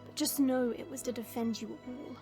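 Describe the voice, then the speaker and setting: A woman's voice: a little high, fairly even intonation, normal speed, dark tone, soft background music, close to an everyday voice. A woman playing a proud, protective dragon princess in an audio drama, with formal, stately phrasing. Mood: solemn, reassuring.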